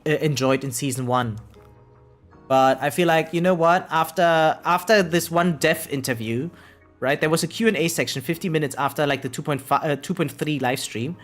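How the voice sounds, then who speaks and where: A video game streamer chatting casually and informally to viewers in an animated, opinionated way. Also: mid-range voice. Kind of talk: casual livestream chat.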